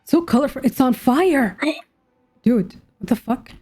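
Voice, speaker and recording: Young female gameplay commentator, informal and slangy, higher pitch with a very mellow tone. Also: animated, measured pace, breathy edge. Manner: informal, thinks aloud, slangy. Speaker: gamer reacting to a story quest. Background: soft game music.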